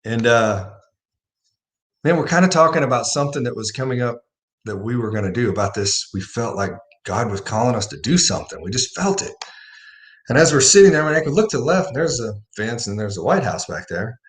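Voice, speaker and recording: A man's voice: medium pitch, big pitch swings, a little fast. A man hosting a livestream, talking casually about faith and telling a personal story with rising emphasis. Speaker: livestream host.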